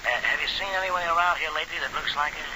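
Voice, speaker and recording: An actor playing a suspicious, needling nightclub regular in a 1940s radio crime drama, probing and sarcastic. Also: male voice, a little high, quick pace, lightly acted. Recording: noisy old radio recording.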